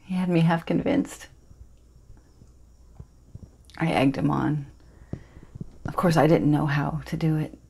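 Intense teenage girl played by a woman, stage monologue, breathy half-whisper, spellbound and conspiratorial, gravelly low edge. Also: steady tone, moderate pace, mellow, heavily acted. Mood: confiding. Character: intense teenage girl entranced by magic and storms.

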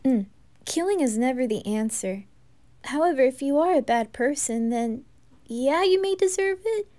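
A woman voicing a kind-hearted, fearless young boy in an anime fan roleplay, speaking softly and earnestly. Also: sits high, animated, normal speed. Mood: sincere. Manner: soft, reassuring, earnest.

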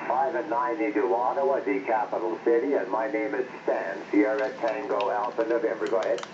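A man's voice: mid-range voice, little pitch movement, brisk, creaky, darkish tone. A man chatting casually and in a folksy way as an amateur radio operator, his voice received over shortwave radio. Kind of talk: casual ham radio chat. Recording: very noisy, muffled shortwave radio reception.

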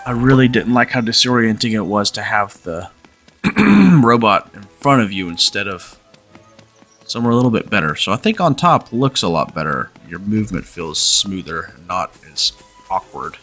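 A man in his thirties talks through a game in a relaxed, chatty way, his voice lowish and noticeably stuffed up from a cold. Faint game music runs underneath while he reads on-screen lines aloud. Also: moderate pace. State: congested from a cold. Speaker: gaming channel host. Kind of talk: casual let's-play commentary. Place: home room, webcam in corner.

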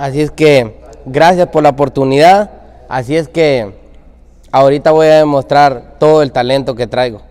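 A man introducing himself as a contestant, earnest and steady, into a handheld mic. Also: on the high side, clear, resonant tone. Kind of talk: comedy sketch.

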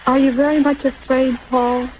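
A woman acting a weak, worried wartime mother in an old radio drama. She speaks in a high voice, tender and tearful, with halting phrases. The 1930s broadcast is muffled and very noisy. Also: flat delivery, lightly acted. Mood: anxious, pleading. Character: frail, starving, anxious mother of a young German soldier.

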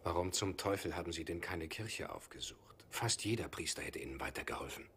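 A worldly, skeptical questioner in a dubbed film. His voice is low, and his questions are pointed and faintly sarcastic. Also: animated, brisk, a bit bright, a little hiss or hum. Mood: probing.

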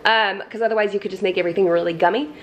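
A woman's voice: mid-range voice, fairly even intonation, racing, a little hiss or hum. Chatty woman cleaning at home. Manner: chatty, self-deprecating, laughs often. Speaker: lifestyle vlogger. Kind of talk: casual clean-with-me narration. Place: kitchen and entryway of a house, indoors.

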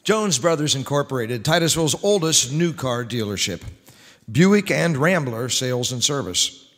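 A man's voice: mid-range voice, expressive, moderate pace, fairly bright tone. A middle-aged man doing scripted old-time radio announcing as master of ceremonies. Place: live stage in a church-like hall. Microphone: stand microphone. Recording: reverberant hall.

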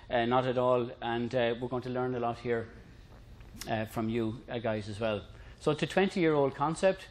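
A middle-aged man with an Irish accent presenting a technical concept to a room in a conversational, lightly humorous way. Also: mid-range voice. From Ireland. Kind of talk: informal lecture to a room.

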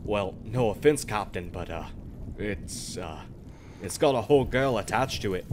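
A man playing a soft-hearted, deferential pirate crewman in an audio roleplay. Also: on the low side, lively intonation, moderate pace, noisy background, natural voice. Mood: nervous pleading. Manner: stammering, pleading before his captain. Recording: loud rain and ship ambience.

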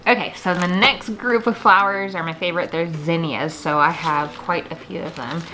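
A woman's voice: on the low side, moderate pace, bright, forceful tone, slight background noise, poor audio. A woman in her thirties chatting casually and enthusiastically to camera as a gardening vlogger in her kitchen. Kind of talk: casual chat to camera, showing seed packets. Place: home kitchen, indoors.

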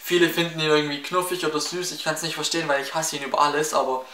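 Swabian teen boy, bright and echoey. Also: fairly high pitch, little pitch movement, quick pace, a little hiss or hum. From Baden-Württemberg, near Stuttgart. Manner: chatty, rambling, many fillers and restarts. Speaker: YouTuber answering viewer questions. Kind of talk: casual Q&A vlog. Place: small room in front of window blinds, indoors. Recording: echoey room, slightly distant mic.

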